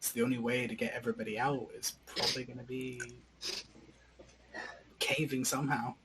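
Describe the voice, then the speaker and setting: A man chats casually as a game player over an online call. His pitch is middling, and there is clear noise with clicks behind him. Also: steady tone, brisk. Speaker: player in a streamed role-playing game. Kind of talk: casual chat. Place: online group video call.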